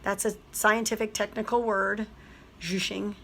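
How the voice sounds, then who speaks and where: Hoarse woman teacher, slightly gravelly, chatty step-by-step craft demo. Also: mid-range voice, flat delivery, a little slow, pushed and bright, slight background noise. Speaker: craft tutorial host. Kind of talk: craft tutorial voice-over.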